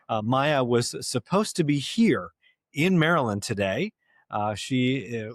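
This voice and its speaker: Guy hosting a podcast, even middle pitch, lots of uhs, dry close sound. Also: no echo. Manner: measured, with frequent "uh" fillers. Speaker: podcast host. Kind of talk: interview podcast.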